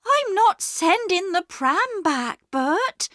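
Woman acting a firm high-voiced village wife. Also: wildly swooping pitch, extremely slow, bright, forceful tone, no room sound, clean studio sound, heavily acted. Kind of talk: storybook character reading.

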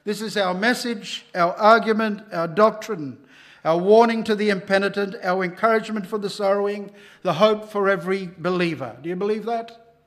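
An older man preaching a sermon at a church lectern microphone, emphatic and rising loud on key lines. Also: high voice, normal speed.

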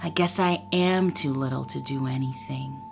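A woman voicing a small, earnest young boy rabbit in a storybook, talking brightly and eagerly. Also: very deep voice, normal speed, creaky, music in the background.

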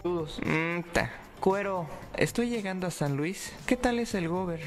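Man doing a pleading desperate woman, high pitch, gravelly, faint music behind. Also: animated, moderate pace. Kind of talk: reading chat messages aloud.

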